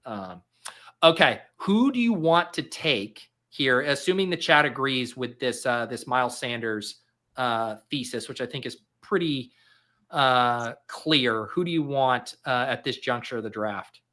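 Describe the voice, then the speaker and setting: Bright-voiced man hosting a draft stream. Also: medium pitch, lively intonation, unhurried. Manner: rambling, filler-heavy, self-mocking. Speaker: host of a fantasy football draft show. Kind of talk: casual live-stream chat.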